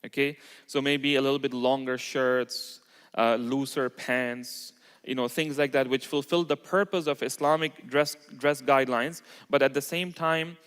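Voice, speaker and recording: A man's voice: medium pitch, steady tone, unhurried, a bit bright, clean tone. A man giving an informal religious lecture to a congregation, explaining step by step and often checking in with short tag questions. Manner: explanatory, checks in with "okay" and "right". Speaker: Islamic lecturer at a community mosque. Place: large reverberant indoor hall. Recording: echoey hall with long reverb.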